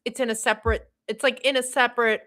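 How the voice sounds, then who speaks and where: A woman's voice: a little high, little pitch movement, fast talker, bright, forceful tone. Chatty agreeable woman host. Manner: agreeable, chatty, loose phrasing. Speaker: podcast host. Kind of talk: casual podcast chat.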